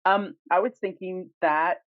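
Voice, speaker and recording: A woman co-hosting a podcast in casual chat, with an animated, exclamatory way of talking. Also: on the low side, measured pace, no room sound, highs cut off. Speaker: podcast co-host.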